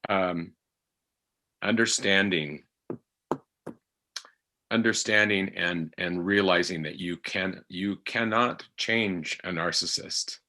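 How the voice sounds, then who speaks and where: A middle-aged man talking reflectively in conversation, halting often as he searches for his words. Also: low voice, bright, forceful tone. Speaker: podcast actor and guest.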